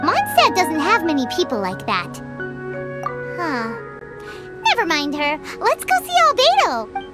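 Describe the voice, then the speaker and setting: A tiny floating fairy with a piping, childlike squeak. She is cheeky and excitable, swooping all over in pitch, with game music clearly behind her. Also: a little slow, long pauses, full character voice. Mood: puzzled, brisk. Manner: speaks of herself in third person, indignant. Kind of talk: anime video game voice acting.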